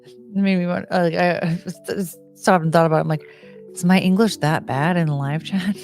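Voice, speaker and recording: A woman's voice: on the low side, fairly even intonation, a little fast, darkish tone, soft background music. An American woman hosting a live stream, chatting in a rambling, unfiltered way with casual swearing. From United States.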